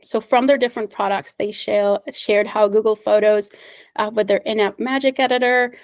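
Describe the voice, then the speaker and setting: A woman's voice: mid-range voice, fairly even intonation, normal speed, dry recording. Upbeat woman presenting on a video call. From United States. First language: Spanish. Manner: friendly, upbeat, with frequent fillers and little laughs. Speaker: meetup organizer and presenter. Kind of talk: online meetup presentation.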